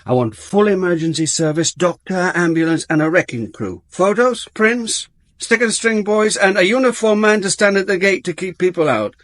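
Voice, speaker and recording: An actor playing an observant, brusque police inspector in a radio drama, with deliberate, clipped phrasing and a wry touch. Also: male voice, high voice, animated, fairly bright tone, dry recording, studio quality. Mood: brisk, commanding. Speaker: lead actor and narrator. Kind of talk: radio drama acting and first-person narration.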